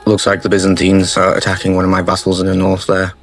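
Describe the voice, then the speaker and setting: A man's voice: low voice, monotone, quick pace. A man commentating on his own strategy game playthrough, explaining each move step by step in a matter-of-fact way. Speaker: gaming channel host. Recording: faint music bed under the voice.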